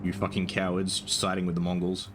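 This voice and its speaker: A young man with a soft, soothing voice, chatting casually while streaming a game, rambling with lots of ums and casual swearing. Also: low-pitched, monotone, fairly quick, over music. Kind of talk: casual gaming stream chat.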